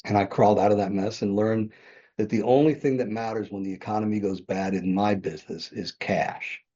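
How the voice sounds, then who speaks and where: A man's voice: fairly low pitch, a little fast, warm tone. A man in his sixties, a podcast guest, telling his business story in a rambling, self-correcting way and chuckling often. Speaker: podcast guest, real estate developer. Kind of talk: conversational interview storytelling. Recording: dull lo-fi podcast audio.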